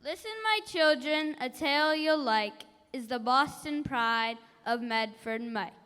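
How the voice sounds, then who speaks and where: A young girl reciting a rhyming tribute in a slow, careful sing-song at a ceremony in a church. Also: sits high, lively intonation, fairly bright tone, smooth. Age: child. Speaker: schoolchild performer. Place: large church hall. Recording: distant voice with church reverb.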